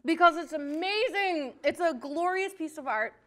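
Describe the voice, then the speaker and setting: A woman with a high voice chats casually as a show guest. She comes across as bubbly and excitable, with a giggly, informal way of talking. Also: normal speed, very noisy. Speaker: guest, studio production coordinator. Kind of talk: casual chat.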